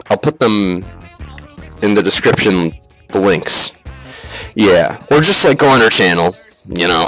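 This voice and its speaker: A man rambles through a casual comedic review as the host of the video. His delivery is halting, sweary and mock-serious, and faint music plays behind him. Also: lowish voice, fairly bright tone, rough recording. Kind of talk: casual comedic slideshow commentary.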